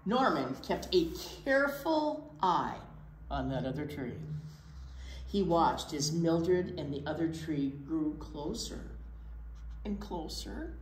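A woman in her sixties reading a picture book aloud to children, with dramatic pauses and emphasis. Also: deep voice, expressive. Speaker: children's storytime reader. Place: indoor children's library room. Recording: roomy space with faint background noise.

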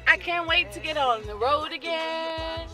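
A woman's voice: extremely high pitch, a little slow, over loud music. A young woman, chatting playfully and giggling as she vlogs into a phone from a car. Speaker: vlogger. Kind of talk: casual vlog chat. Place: inside a car.

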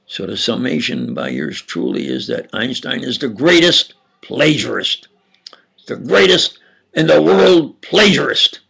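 Gravelly, slow-talking older man with a New York-area accent. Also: medium pitch, big pitch swings. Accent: Upstate New York / New Jersey. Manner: slow, halting, insistent, with frequent restarts and sudden loud emphasis. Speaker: self-styled preacher lecturing on camera. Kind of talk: rambling monologue lecture.

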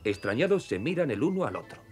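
A man's voice: mid-range voice, big pitch swings, quick pace. A man reading scripted narration for a children's cartoon as its storyteller. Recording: music behind the voice.

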